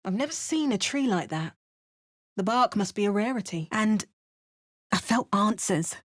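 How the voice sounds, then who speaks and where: A woman plays a wry female game adventurer at a mid-range pitch. She gives understated remarks and calm storybook narration. It is recorded close and dry in a studio. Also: moderate pace, dry recording, close to an everyday voice. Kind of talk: video game voice acting. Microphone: close studio mic.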